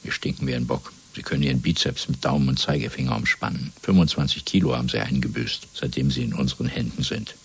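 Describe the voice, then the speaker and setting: A man playing a cold, fanatical Party interrogator in a radio drama, speaking in a measured, domineering, rhetorical way. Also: extremely low pitch, creaky, breathy edge, heavily acted. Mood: cold, cruel. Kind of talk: German radio drama acting.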